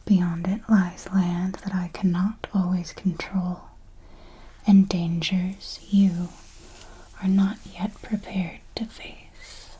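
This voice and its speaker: A woman playing an elegant vampire mentor in a whispered ASMR roleplay, formal and deliberate, possessive, teasingly menacing and protective, with a breathy delivery. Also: fairly low pitch, flat delivery, mellow, slight background noise, low-quality recording. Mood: calm warning. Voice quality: breathy, mostly unvoiced whisper. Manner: slow, deliberate, formal, with measured pauses.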